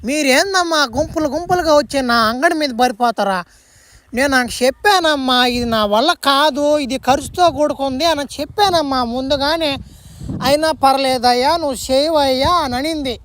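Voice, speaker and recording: A woman doing a high-voiced wheedling quack repairman. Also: lightly acted. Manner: defensive, wheedling, repetitive. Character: pleading, self-important quack village repairman. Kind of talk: comedy cartoon dialogue.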